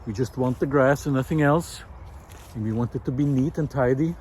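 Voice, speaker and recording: Thoughtful man outdoors, clear background noise. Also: medium pitch, darkish tone. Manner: hesitant, thoughtful, pausing mid-sentence. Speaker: presenter of a nature and philosophy video series. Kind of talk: unscripted reflective explainer to camera. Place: outdoors in a park.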